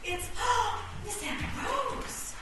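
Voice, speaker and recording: Distant and echoey, a woman plays a living chess piece in a radio play. The voice is high and very animated, with childlike eagerness and blunt, wide-eyed excitement. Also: drawn-out words, full character voice. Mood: amazed. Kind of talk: live radio play acting. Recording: echoey noisy stage, far from mic.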